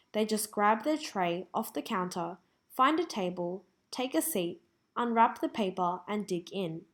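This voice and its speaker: Aussie woman reading test practice passages out loud, careful and measured, mid pitch. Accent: Australian. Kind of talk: scripted read-aloud.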